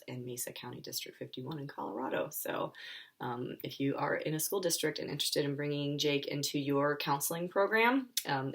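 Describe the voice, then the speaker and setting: A woman with an American accent and a low-pitched voice gives an informal live read-aloud for children. She speaks as an author, with chatty, friendly asides to her young listeners. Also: creaky. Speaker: children's author and school counselor.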